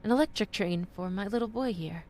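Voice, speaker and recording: A woman's voice: medium pitch, brisk, a little mellow, slight background noise, close to an everyday voice. Woman playing an earnest, flustered young mother. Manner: hesitant, polite. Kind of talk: old-time radio drama acting.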